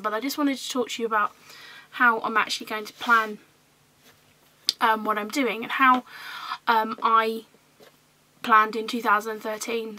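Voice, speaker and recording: A woman with a hoarse voice, recovering from illness, chatting casually through a scrapbooking vlog with many ums. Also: a little high, pushed and bright, low-quality recording. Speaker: scrapbooking vlogger. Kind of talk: casual show-and-tell vlog.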